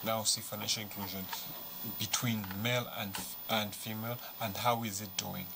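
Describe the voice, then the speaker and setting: A Zambian man speaks as a panel guest in a fairly low voice. He explains in a conversational way and likes rhetorical questions. There is clear noise in the background. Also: level tone, normal speed.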